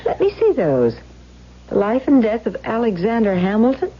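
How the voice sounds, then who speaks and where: A plain-spoken, caring wife in an old radio drama. Her high voice moves expressively as she coaxes and doubts. The recording is dull. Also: huge pitch swings, normal speed, breathy edge, exaggerated voice. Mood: skeptical. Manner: coaxing, questioning, familiar. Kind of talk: 1970s radio drama acting.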